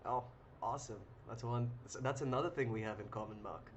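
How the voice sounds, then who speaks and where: Relaxed man with many ums. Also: medium pitch, fairly quick. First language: English. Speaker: live trading stream host. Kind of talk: casual live-stream chat reading viewer comments. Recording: muffled lo-fi audio with clear noise.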